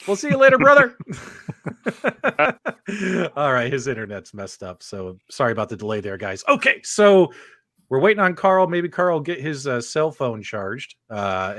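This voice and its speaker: A man's voice: medium pitch, very animated, moderate pace, sharp tone, no echo, studio quality. A man hosting a casual live-stream panel show, chatting informally and teasing his guests. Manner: jokey, teasing, addresses guests and viewers informally. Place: remote online video call.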